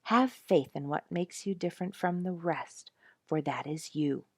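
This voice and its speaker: A woman's voice: low voice, measured pace, a little mellow, slightly rough, no echo, studio quality. A woman voicing a blunt, self-assured young male porcupine who lectures in a folksy, emphatic way, as in a storybook. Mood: earnest, preachy. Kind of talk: storybook character speech.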